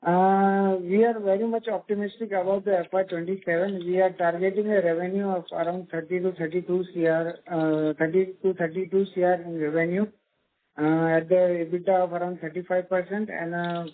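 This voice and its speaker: A man with an Indian accent reports figures on a conference call through a tinny phone connection. He sounds like a finance executive, formal and a little halting in his delivery. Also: high voice, little pitch movement. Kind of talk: earnings call answers.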